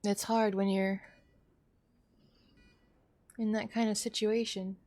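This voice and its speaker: Slow-paced woman streaming games, emotive. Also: mid-range voice, little pitch movement, a little mellow. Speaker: live streamer playing games. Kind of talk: casual live game-stream commentary.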